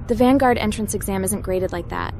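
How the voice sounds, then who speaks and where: A woman's voice: medium pitch, brisk, noisy background, natural voice. A woman voicing a determined, matter-of-fact heroine in scripted roleplay game dialogue. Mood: businesslike. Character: determined, businesslike young female hacker posing as officer. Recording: music behind the voice.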